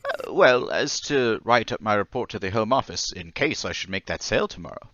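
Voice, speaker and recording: A man's voice: on the low side, animated, a little fast, fairly bright tone, lo-fi sound. An actor playing a timid, troubled stocking salesman in an old-time radio drama, hesitant, stammering and deferentially polite. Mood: meek, grateful.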